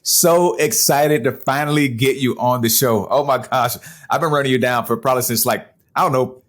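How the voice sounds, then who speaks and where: Male podcast host, medium-pitched voice. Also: normal speed. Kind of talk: podcast intro and interview chat.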